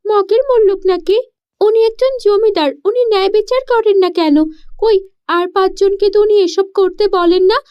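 A woman's voice: very high-pitched, steady tone, moderate pace, muffled recording, voice pushed up. A woman voicing a poor, spirited village girl in a cartoon story, earnest and devoted to her family. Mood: indignant. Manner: earnest, protesting, questioning.

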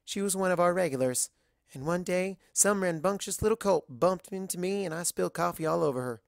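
Narrator voicing a higher-pitched, friendly waitress mare. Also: brisk, creaky, dry recording, studio quality, natural voice. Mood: reassuring, chatty. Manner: gentle, chatty, encouraging.

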